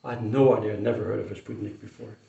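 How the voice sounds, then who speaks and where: An older man who grew up in Indonesia, fondly telling a childhood memory in an unhurried way, with pauses and restarts. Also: on the low side, steady tone, creaky, warm tone, dull audio. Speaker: storyteller. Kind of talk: personal memory told to camera. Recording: roomy echo, mic some distance away.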